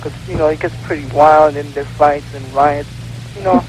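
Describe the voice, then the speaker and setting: A young American man with a fairly high voice phones in to a radio show. He talks casually and hesitantly, with faint noise on the line. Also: fairly even intonation, normal speed, a little mellow. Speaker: phone-in caller. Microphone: telephone. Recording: phone call on noisy radio broadcast.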